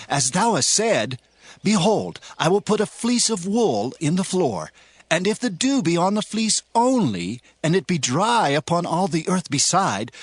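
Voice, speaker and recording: Man acting high, pleading, humble Gideon. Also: a bit bright, no room sound, full character voice. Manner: pleading, emotional, archaic scriptural speech. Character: Gideon, humble, fearful, pleading chosen warrior. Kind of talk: dramatized audio Bible acting.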